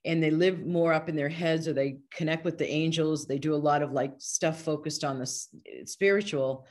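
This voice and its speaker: A woman's voice: deep voice, normal speed, a little mellow. A woman speaking as a podcast guest, a healer and coach explaining ideas in an earnest, discursive, conversational way. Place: online video call.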